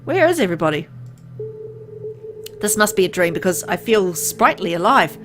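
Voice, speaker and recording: A woman voicing a gravely ill man who is turning into a hungry vampire, reading the first-person game text aloud in her own plain voice, with game music underneath. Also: on the low side, big pitch swings, normal speed. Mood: uneasy.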